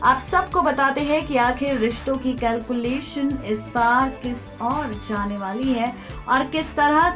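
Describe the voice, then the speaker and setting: A woman with an Indian accent narrates entertainment news in a fairly high voice. Her scripted delivery is emphatic and dramatic, like a teaser for TV serial updates. Music plays faintly behind her. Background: background music.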